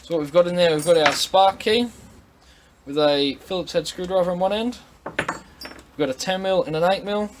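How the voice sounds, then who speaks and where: A man's voice: high-pitched, moderate pace, long pauses. An Australian man giving an informal, plain-spoken, step-by-step product demonstration in a casual instructional style. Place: indoor workshop at a tool bench. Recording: noisy workshop recording with clicks.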